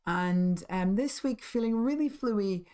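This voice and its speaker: A hoarse woman hosts a live crafting stream, her voice husky and half gone. She chats in a friendly, rambling way, full of ums and small laughs, at a middling pitch. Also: lively intonation, unhurried, warm tone. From United Kingdom. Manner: chatty, friendly, many ums and little laughs. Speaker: craft stream host and shop owner.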